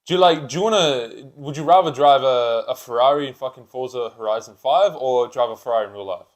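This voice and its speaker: A man's voice: mid-range voice, fairly quick. A man in his twenties chatting casually and unscripted as a podcast co-host, with blokey, sweary, slangy talk. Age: 20s.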